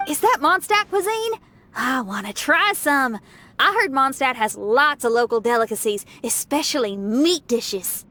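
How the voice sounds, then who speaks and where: Curious fantasy game youngster, high pitch, eager, plain-spoken, friendly. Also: female voice, expressive, unhurried, sharp tone, heavily acted. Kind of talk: video game voice acting.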